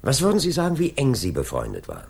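An actor playing a politely persistent police inspector in a radio play, questioning insistently with drawn-out, swooping phrases and a creaky voice. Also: male voice, medium pitch, wildly swooping pitch, a little mellow, exaggerated voice. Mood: probing. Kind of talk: 1980s German radio play acting.

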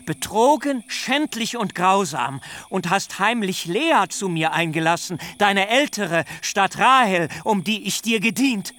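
A man's voice: high voice, animated, a little slow, few pauses, bright, forceful tone, no echo, heavily acted. A man playing a young bridegroom who has been deceived, speaking in an aggrieved, accusing and emotional way in a literary radio play. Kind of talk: stylized literary radio drama.

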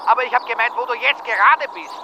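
An Austrian actor playing a cocky, unbothered buddy, heard through a phone filter in a radio drama. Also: male voice, extremely high pitch, fast talker. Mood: dry, sly. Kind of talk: naturalistic radio drama acting. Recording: band-limited phone call with loud car noise.

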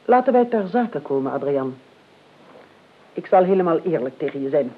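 An actress in a Dutch radio play, playing an imperious, blunt older aristocratic aunt who speaks formally and with dry restraint. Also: female voice, low voice, moderate pace, full character voice. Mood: stern, businesslike. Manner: formal, crisp, deadpan. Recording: dull lo-fi radio recording.

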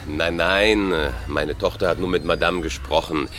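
A man's voice: sits low, steady tone, unhurried, a bit bright. A man playing a polite, apologetic father in a radio play, heard slightly off-mic.

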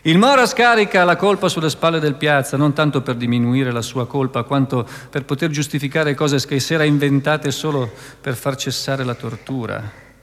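A man's voice: mid-range voice, moderate pace, fairly bright tone. A man delivering dramatic scripted narration as the narrator of a radio play. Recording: long reverberant hall, faint music.

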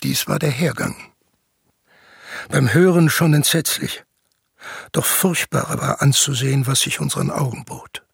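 An actor playing an older messenger with a raspy voice, solemnly declaiming grave news in a classical tragedy radio play. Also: male voice, mid-range voice, unhurried, long pauses, heavily acted. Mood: grave, horrified. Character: old male messenger stranger bringing grave news, solemn.

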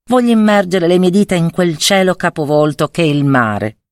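A woman voicing a gentle, fragile young snow maiden in a storybook fairy tale, with a pleading manner. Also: on the low side, normal speed, no room sound, clean studio sound, natural voice.

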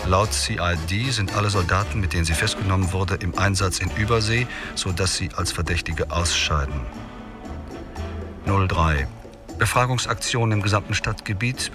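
A man voices a police report, read in a dry, matter-of-fact way with a low voice. Music plays clearly beneath the reading, as in a radio drama. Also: lightly acted. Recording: music under voice.

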